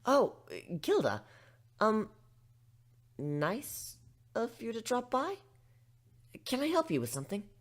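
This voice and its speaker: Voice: mid-range voice, huge pitch swings, takes it slowly, pauses often, voice pushed up, full character voice. A man voicing a bookish female pony librarian in a dramatic story reading, polite and hesitant. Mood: startled, polite. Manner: hesitant, gentle wording.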